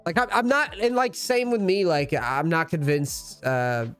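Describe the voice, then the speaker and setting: A man's voice: a little high, a little slow, fairly bright tone, clear, resonant tone, soft background music. A man in his thirties giving casual, rambling commentary as a gaming coach, full of slang and swearing. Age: 30s. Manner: rambling and profane, loose slang. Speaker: League of Legends coach and streamer.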